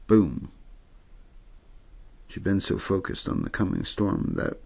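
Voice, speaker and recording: A man reads a novel aloud for an ASMR audience. His voice is low and full of heavy, crackling fry, with a husky grain. He keeps the delivery plain and untheatrical, like an amateur narrator helping someone drift off. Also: wildly swooping pitch, slow, a little mellow. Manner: untheatrical, low-key delivery with occasional stumbles. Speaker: amateur audiobook reader. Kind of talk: ASMR story reading, scripted narration.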